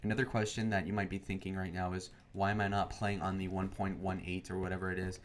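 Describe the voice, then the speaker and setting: A man's voice: deep voice, flat delivery, quick pace. A young man giving rambling, filler-heavy commentary while he plays a game. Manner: meandering, full of fillers and restarts. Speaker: gaming video creator. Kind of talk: rambling commentary over gameplay. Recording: loud background noise.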